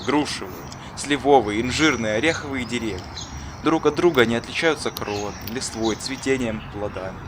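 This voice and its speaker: Man, kindly cartoon teacher, acted, wise, courteous, measured, instructive storytelling, medium pitch, background music. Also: lightly acted. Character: wise, kindly male schoolteacher who loves gardening. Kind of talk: scripted storytelling in an educational cartoon. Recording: music behind the voice.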